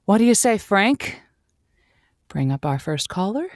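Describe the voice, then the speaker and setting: An actress playing a wry late-night radio advice host with a slow, sultry on-air delivery. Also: female voice, mid-range voice, sing-song, no echo.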